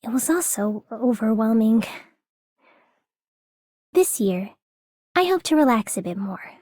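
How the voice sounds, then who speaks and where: A woman's voice: fairly high pitch, sing-song, measured pace, warm tone, dry recording, clean studio sound. An actress playing an earnest, mild young girl in a video game, with a modest, hesitant manner. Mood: wistful, modest. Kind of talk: video game character voice line.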